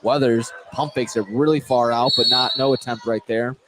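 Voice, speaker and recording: A man calling a live high school basketball game on radio, excitable and correcting himself as he goes. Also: normal speed, heavy background noise. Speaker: play-by-play basketball commentator. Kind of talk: live sports radio play-by-play.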